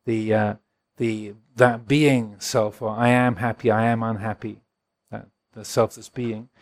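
A man in his sixties from England, a Buddhist monk giving a gentle, reflective dharma talk and answering questions at a retreat. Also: lowish voice, level tone, a little slow. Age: 60s. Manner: gentle, reflective, chuckles often.

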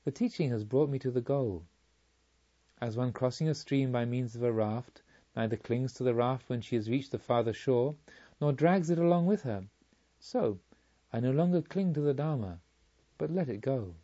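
A man voicing a serene, self-possessed enlightened woman in a storybook reading, with measured, solemn delivery. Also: mid-range voice, animated, dark tone, highs cut off, close to an everyday voice. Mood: serene detachment.

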